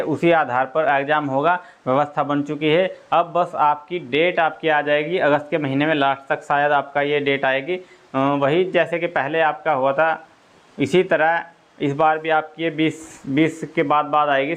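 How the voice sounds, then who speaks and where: A man's voice: on the high side, fairly bright tone. A man explaining exam news informally as a coach, repeating his points and often checking that listeners follow.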